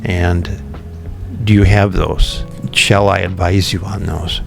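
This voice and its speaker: Low gravelly-voiced man with music behind. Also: fairly even intonation, slow pace. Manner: thoughtful, many ums and restarts. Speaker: podcast guest, company founder. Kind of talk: relaxed interview conversation.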